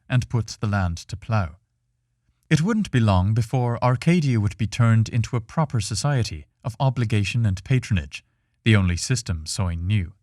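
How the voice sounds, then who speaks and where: A male narrator reads fiction in a fairly low voice. His delivery is measured and solemn, with dramatic phrasing suited to an epic tale. The sound is dry, with very little room around it. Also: a little mellow, no echo. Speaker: narrator reading a fiction podcast. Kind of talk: scripted storytelling narration.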